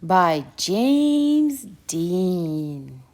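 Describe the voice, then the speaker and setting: A woman's voice: on the low side, big pitch swings, very slow, a little mellow. Woman reading along on a kids story channel, takes her time, voice goes up and down a lot, asks little questions. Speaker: co-host of a children's read-aloud channel. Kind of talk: casual shared story reading with a child, prompting questions.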